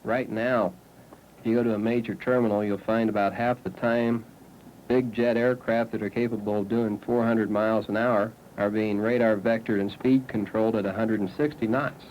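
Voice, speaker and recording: A man's voice: lowish voice, steady tone, moderate pace, darkish tone, rough recording. A man with an American accent, an air traffic controller explaining procedure methodically on dated 1970s film sound. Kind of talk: explanation to camera.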